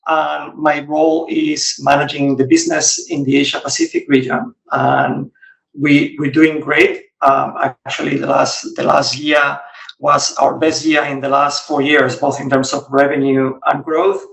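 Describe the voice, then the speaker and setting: A middle-aged man from Spain, a guest on a podcast interview, explaining things in a relaxed way with frequent restarts. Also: on the high side, a little slow, vocal fry, reverberant, distant mic, poor audio. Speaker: guest, regional software executive.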